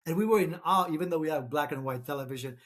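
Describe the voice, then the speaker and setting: A man's voice: fairly high pitch, fast, fairly bright tone. A middle-aged man who grew up in Czechoslovakia, a Czech native speaker, talking as an interview guest with frequent restarts and fillers. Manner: hesitant, many restarts and fillers. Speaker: author and guest. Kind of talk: conversational interview.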